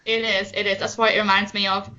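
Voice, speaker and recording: A woman co-hosting a fan podcast, talking in rambling, excitable bursts. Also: mid-range voice, fast talker, a loud crowd. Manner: rambling, restarts sentences, excitable. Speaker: podcast co-host. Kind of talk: casual fan chat. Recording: dull lo-fi audio.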